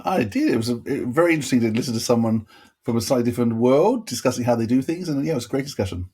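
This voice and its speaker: A man's voice: mid-range voice, expressive, racing, darkish tone, breathy edge. A man co-hosting a podcast, giving casual reviews in a rambling way, often interrupting himself. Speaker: podcast co-host. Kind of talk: casual chat, reviewing audio dramas.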